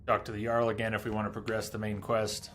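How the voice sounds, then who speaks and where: A man's voice: lowish voice, monotone, fast, a bit bright. A man who streams games, talking through a playthrough and reading lore aloud to his viewers. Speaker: game streamer. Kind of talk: live game commentary and reading in-game lore aloud.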